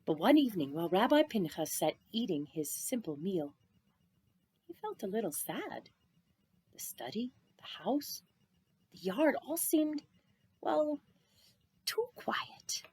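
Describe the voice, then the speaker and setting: A woman reading a children's picture book aloud expressively, drawing out words and dropping into hushed phrases for drama. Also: mid-range voice, sing-song, takes it slowly, long pauses, husky. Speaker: storybook reader.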